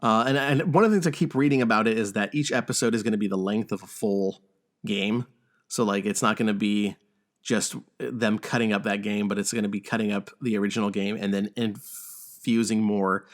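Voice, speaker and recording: A man with a fairly low voice speaks as a podcast host. His delivery is casual and conversational, suited to gaming news and chat. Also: steady tone, moderate pace.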